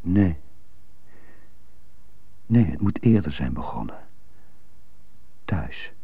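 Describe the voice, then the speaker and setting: An actor playing a bitter, tormented man who stutters, brooding in a halting radio drama monologue. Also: rumbling low, big pitch swings, exaggerated voice. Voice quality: stuttering, husky. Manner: brooding, halting, resentful muttering. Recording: muffled, roomy, narrow-band old radio recording.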